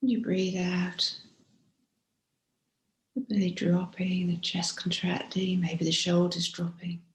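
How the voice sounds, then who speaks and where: A woman guiding a body scan meditation as a teacher, speaking gently and softly, unhurried, with frequent pauses and breathy phrase endings. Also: on the low side, flat delivery. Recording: roomy, echoing room sound.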